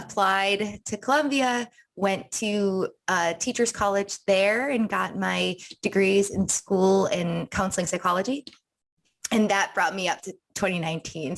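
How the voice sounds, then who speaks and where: A young woman from Rhode Island, a counselor, talking thoughtfully as an interview guest with many ums. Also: mid-range voice. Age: young adult. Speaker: counselor and wellness coach.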